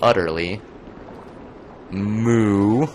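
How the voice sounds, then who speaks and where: A man's voice: fairly low pitch, drawn-out words, darkish tone, a little hiss or hum. A young man streaming a video game, chatting casually, rambling and joking as he plays. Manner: rambling, joking, breaks into goofy voices. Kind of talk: casual gaming commentary.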